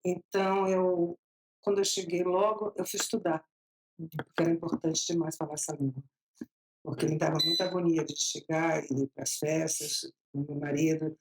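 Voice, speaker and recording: Chatty Bahian woman, lowish voice. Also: flat delivery, low-quality recording. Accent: Bahian Brazilian Portuguese. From Bahia, Brazil. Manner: chatty, laughs often, drawn-out slow phrases. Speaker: interview guest. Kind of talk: casual storytelling interview.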